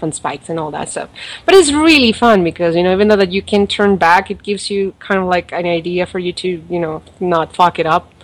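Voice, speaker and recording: A woman's voice: on the low side, low-quality recording. A woman with an accent, chatting casually on a podcast and rambling with fillers and laughs as she reviews a mobile game. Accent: non-native accent, origin not stated. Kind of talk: casual chat.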